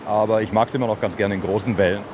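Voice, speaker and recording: A man in his fifties speaking in a relaxed, matter-of-fact way in an interview. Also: lowish voice, fast talker. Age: 50s. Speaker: surf camp owner, interviewee. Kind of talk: casual interview talk. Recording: outdoor field recording with clear noise.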